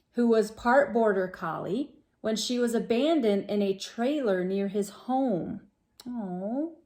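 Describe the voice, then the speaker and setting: A woman reading a storybook aloud to children, talking to them directly in an expressive, exclaiming manner. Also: a little high. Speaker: children's read-aloud host.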